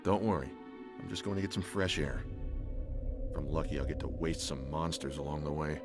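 Deep, gruff police veteran. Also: male voice, steady tone, brisk. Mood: uneasy, evasive. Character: burly, troubled male special-police veteran hiding a secret. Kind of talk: video game cutscene acting. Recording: music behind the voice.

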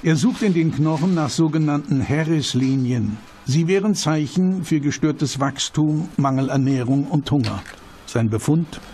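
A man reading scripted documentary narration with measured, dramatic phrasing and rhetorical questions. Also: fairly high pitch, dark tone, slight background noise. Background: background music.

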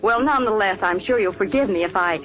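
A woman playing a devoted companion in a 1940s radio drama, gentle and affectionate. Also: mid-range voice, fast, faint music behind, natural voice. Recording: muffled old radio recording.

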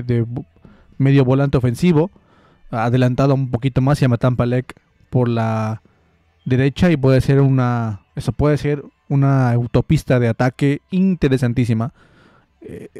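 A man's voice: medium pitch, dark tone, dry recording. A young man giving a rambling, joking football analysis into a close studio mic in a small room. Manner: rambling, joking, many restarts. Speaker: football commentator. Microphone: studio mic close up with headphones.

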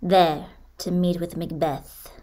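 A woman's voice: lowish voice, steady tone, slow pace, mellow. A woman playing an ominous witch chanting prophecies in a Shakespearean radio drama.

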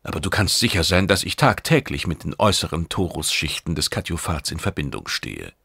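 Man playing guarded, deep-voiced dutiful pupil. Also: big pitch swings, brisk, vocal fry, sharp tone, husky, dry recording, full character voice. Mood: reassuring. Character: dutiful male pupil, guarded and deferential to his mentor. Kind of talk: audiobook character acting.

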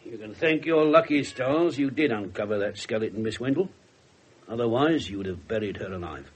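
An actor playing a wry, blunt English detective inspector in a radio drama, talking colloquially. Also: male voice, fairly low pitch, lively intonation, brisk, husky. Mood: wry, conversational. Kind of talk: 1970s British radio drama acting.

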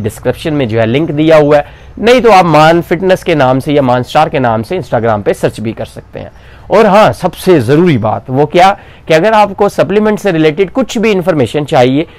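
A man's voice: on the high side, a little mellow, dry recording. Young Pakistani Punjabi-accented man in his 20s; chatty, explanatory coach; lapel mic outdoors, dry. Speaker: fitness coach and bodybuilder. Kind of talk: casual talk to camera. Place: outdoors in front of a brick wall. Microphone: clip-on lapel mic.

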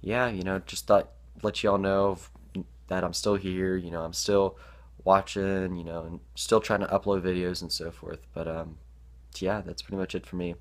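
Teen guy talking to his webcam, deep low voice, kinda stuffy from allergies, lots of ums. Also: little pitch movement, normal speed. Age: teens. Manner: hesitant, many ums and restarts. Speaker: gaming YouTuber. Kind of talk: casual vlog to camera. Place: small bedroom indoors.

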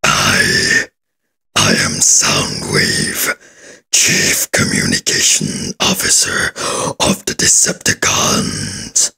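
An extremely deep, crackling robot commander, drags out each pronouncement. The cartoon villain's guttural growl is coarse and husky, cold and commanding. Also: male voice, little pitch movement, pushed and bright, exaggerated voice. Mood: cold, formal. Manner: slow, ominous pronouncements.

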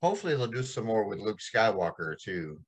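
A man's voice: lowish voice, big pitch swings, brisk. A man in his forties talking casually as a podcast co-host on a video call. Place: indoors in a small home room.

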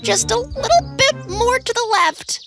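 A woman voicing a tiny, helpful female mouse in a cartoon story, squeaky and eager. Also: animated, a little slow, creaky, over music, full character voice.

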